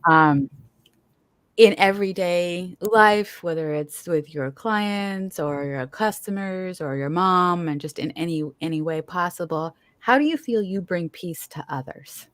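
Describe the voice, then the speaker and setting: Low-voiced woman hosting a chat, laughs a lot, trips over her sentences, dry close sound. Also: measured pace, fairly bright tone, clear, resonant tone, no echo. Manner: chatty, laughs often, many restarts. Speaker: podcast host. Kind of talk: casual conversational interview.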